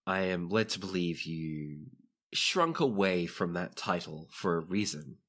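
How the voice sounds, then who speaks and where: A man's voice: low-pitched, a little slow, a bit bright. A man playing a dutiful, patient hospital attendant who speaks formally and politely in a tabletop game.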